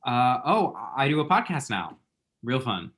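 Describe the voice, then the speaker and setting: A man's voice: medium pitch, very animated, measured pace, a bit bright. A man playing a flustered, lovestruck and bitchy young man, sassy and theatrical, in a screenplay table read. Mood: flustered, nervous.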